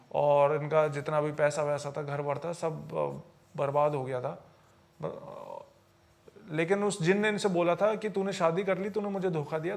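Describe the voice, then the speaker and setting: A man with a high voice and a noticeable creak in it tells stories on a podcast. He talks conversationally, explains as he goes and keeps checking that the listener follows.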